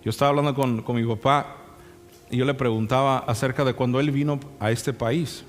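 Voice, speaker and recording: A man's voice: mid-range voice, fairly even intonation, a little fast. A young man preaching a sermon with anecdotes in a conversational way, often checking in with rhetorical questions. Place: church sanctuary. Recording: reverberant hall sound, music underneath. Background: music.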